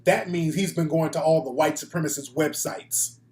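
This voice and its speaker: Male American talk host, slightly high voice, blunt and profane, off-the-cuff monologue, roomy echo. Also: moderate pace, darkish tone. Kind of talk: casual opinionated live talk show monologue. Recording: echoey room.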